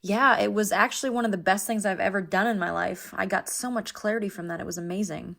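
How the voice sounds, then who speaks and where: A woman's voice: medium pitch, quick pace, gravelly, a bit bright. A woman playing an anxious young cartoon passenger who overshares in a rambling, candid, self-deprecating, naturalistic way. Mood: candid, rueful. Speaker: passenger in an animated series. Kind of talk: naturalistic confessional conversation.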